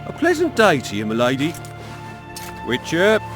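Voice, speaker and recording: An actor playing an earnest, deferential royal messenger in a video game, speaking formally as if proclaiming. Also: male voice, on the high side, wildly swooping pitch, normal speed, music in the background. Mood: polite, apologetic.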